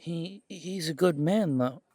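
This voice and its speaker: A man's voice: a little high, slow, warm tone, no echo. A man playing an earnest, naive young werewolf in an audio roleplay, hesitant, defensive and eager to please. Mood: loyal, uneasy. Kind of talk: audio roleplay drama acting.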